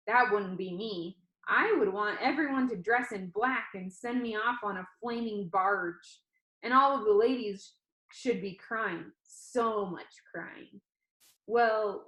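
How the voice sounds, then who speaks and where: A woman's voice: a little high, fairly bright tone, muffled recording. A woman reading a first-person novel aloud as narrator. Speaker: audiobook reader. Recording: echoey room.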